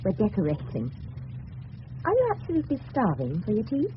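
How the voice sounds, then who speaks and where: Gushing English suburban wife, coaxing and genteel; radio drama, dull, noisy old recording. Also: female voice, mid-range voice, huge pitch swings, a little fast. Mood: gushing, chatty. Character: seductive suburban married woman, gushing genteel hostess. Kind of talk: 1970s radio drama acting.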